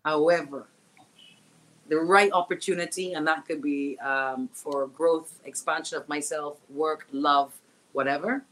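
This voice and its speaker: A woman's voice: deep voice, steady tone, measured pace, fairly bright tone, rough recording. Emphatic woman sportscaster. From Barbados. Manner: emphatic, reflective, repeats key words. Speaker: sportscaster, guest. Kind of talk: casual interview chat. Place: remote call from home.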